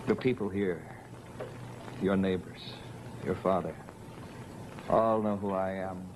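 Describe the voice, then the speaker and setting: Grave stage lover, very low voice, subdued theatrical monologue, noisy old broadcast. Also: male voice, steady tone, takes it slowly. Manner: solemn, subdued, underplayed. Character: grave, brooding stage actor rehearsing a lover's part.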